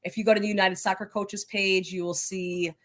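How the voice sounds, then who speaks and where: A woman's voice: mid-range voice, little pitch movement, brisk. A woman speaking as an interview guest, answering conversationally in an enthusiastic, encouraging manner. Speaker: interview guest, coaches' association leader.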